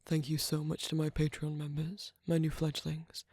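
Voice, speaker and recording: An audio roleplay creator speaking plainly in an even, scripted outro to listeners. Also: mid-range voice, normal speed, breathy edge, no echo, clean studio sound. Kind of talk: scripted outro with thanks to supporters.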